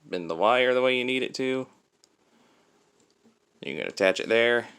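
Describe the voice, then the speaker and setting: A man's voice: mid-range voice, expressive, a little slow, creaky, fairly bright tone, clean tone. A man giving a casual hands-on demonstration as a toy reviewer, explaining things step by step.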